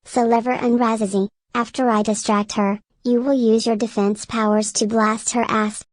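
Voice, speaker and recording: A woman's voice: on the high side, little pitch movement, normal speed, no room sound, lightly acted. A woman voicing an indignant, scheming cartoon catgirl leader with a blunt, profane manner. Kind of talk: amateur animated drama dialogue.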